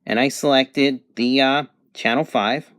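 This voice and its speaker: A person giving a casual product review and demonstration in a halting, hesitant way. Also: mid-range voice, fairly even intonation. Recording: clear background noise, amateur home recording.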